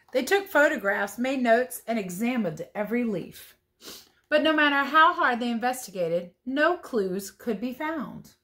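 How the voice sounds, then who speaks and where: A woman's voice: on the high side, a bit bright. Woman reading a storybook with playful asides. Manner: playful, chatty, commenting on the pictures as she goes. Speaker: teacher reading a picture book aloud. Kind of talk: storybook read-aloud with casual asides.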